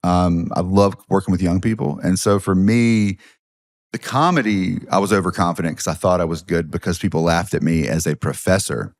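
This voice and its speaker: Deep-voiced Southern man telling stories. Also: normal speed, no echo, clean studio sound. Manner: self-deprecating storyteller, frequent restarts. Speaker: guest, history professor and comedian. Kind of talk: casual podcast chat.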